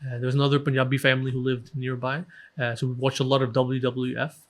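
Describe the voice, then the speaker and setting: A man in his thirties from Denmark, talking as a podcast guest about his childhood, relaxed and self-deprecating, with many ums and restarts. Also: mid-range voice, fast talker. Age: 30s. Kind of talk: casual interview talk.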